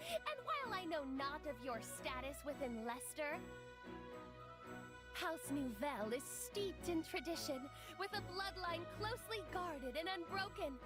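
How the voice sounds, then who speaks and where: A theatrical young noblewoman mage from a video game. Her voice sits high, and she speaks in grand, archaic, formal phrases. Music plays clearly behind her. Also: big pitch swings, unhurried. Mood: haughty, indignant. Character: haughty, theatrical young noblewoman mage, prone to abject self-abasement. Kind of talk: video game character acting.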